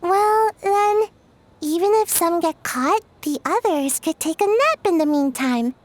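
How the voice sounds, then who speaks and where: A woman's voice: expressive, unhurried. A woman voicing a small, lazy, nap-loving childlike dreamer in a video game, earnest and easily flustered. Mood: dreamy, earnest. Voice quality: childlike, very high. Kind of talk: animated video game dialogue. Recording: background music.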